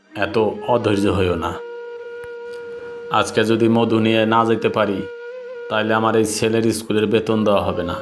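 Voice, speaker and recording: A man's voice: on the low side, close to an everyday voice. A man voicing a poor, hardworking middle-aged father in a cartoon, bossy and colloquial, with a Bangladeshi accent. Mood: firm. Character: poor, hardworking middle-aged honey collector, gruff but devoted father. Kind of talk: cartoon voice acting. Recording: clear background noise.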